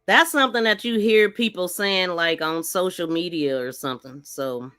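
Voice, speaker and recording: A woman's voice: fairly low pitch, normal speed, sharp tone, no echo, studio quality, faint music behind. A woman hosting a casual true-crime commentary, speaking in a skeptical, questioning manner. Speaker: podcast host.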